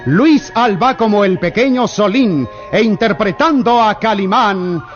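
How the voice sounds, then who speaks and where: A man's voice: high-pitched, animated, unhurried. A man with a Mexican accent giving a grand opening announcement for an old radio serial. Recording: muffled old radio recording over loud music.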